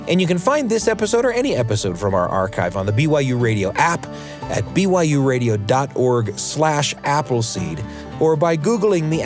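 Casting: man as expressive story-show host, mid-pitched, very animated, folksy delivery. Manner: expressive, folksy, dramatic pauses. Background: music bed.

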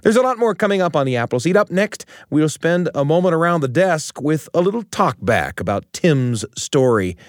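Lively American male radio host. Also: fairly high pitch, normal speed, few pauses. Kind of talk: reflective hosting and conversation. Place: studio.

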